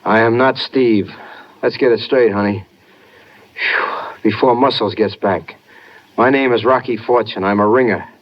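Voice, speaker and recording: Streetwise radio hero played by a man: low, laconic wisecracker, dull noisy 1950s recording. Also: steady tone, a little slow, pauses often, natural voice. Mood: wry, shaken. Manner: wry, slangy, laconic. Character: wisecracking streetwise tough guy, male adventurer and narrator. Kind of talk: 1950s hard-boiled radio drama acting.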